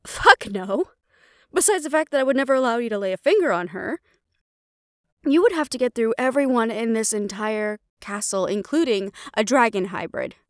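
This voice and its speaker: A woman's voice: a little high, animated, normal speed, a bit bright, dry recording, studio quality. A woman playing a defiant, loyal young banshee daughter in an audio roleplay, sarcastic and casual. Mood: angry, defiant.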